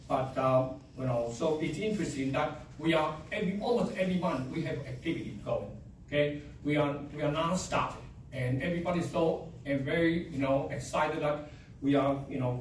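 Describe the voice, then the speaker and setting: A man with a strong Chinese accent presents to a board, halting and upbeat, leaning on fillers as he goes. His voice sits a little high. It is picked up from across a very echoey room. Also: a little hiss or hum. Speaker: association presenter. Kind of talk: public meeting presentation. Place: meeting room. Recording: echoey room, mic at a distance.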